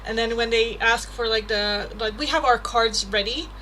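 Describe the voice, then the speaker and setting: A woman's voice: a little high, steady tone, normal speed, sharp tone, a little hiss or hum, low-quality recording. A young Dutch woman with a Dutch accent, chatting casually on a livestream and reading oracle cards aloud. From Netherlands. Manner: chatty, laughs often, swears casually, reads card text aloud. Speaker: tarot reader hosting a livestream.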